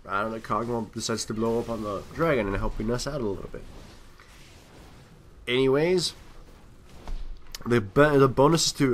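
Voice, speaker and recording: A man with a medium-pitched voice commentates on a video game in a casual, unscripted way. He rambles as he thinks aloud, letting some phrases trail off before picking up again. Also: a bit bright. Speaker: gameplay commentator.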